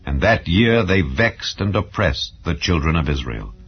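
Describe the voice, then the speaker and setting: Male narrator for dramatized Bible audio: very low pitch, solemn, measured, slightly dull recording. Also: sing-song, soft background music. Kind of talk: dramatized scripture reading. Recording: dull, muffled lo-fi sound.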